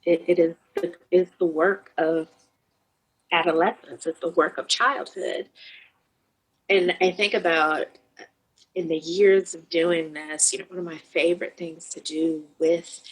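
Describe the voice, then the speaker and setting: A woman's voice: lowish voice, steady tone, measured pace, slightly rough, poor audio. A middle-aged woman therapist talking earnestly about grief in an interview, acting out kids' replies now and then. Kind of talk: reflective interview talk.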